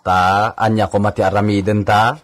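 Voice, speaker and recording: A man's voice: lowish voice, flat delivery, normal speed, natural voice. A man playing a resigned household servant in a radio drama.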